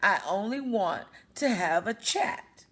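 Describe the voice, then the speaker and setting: A woman's voice: medium pitch, lively intonation, takes it slowly, a bit bright. A woman voicing a sly, hungry storybook wolf who talks in a coaxing, mock-polite way. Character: sly hungry male wolf, falsely friendly storybook villain.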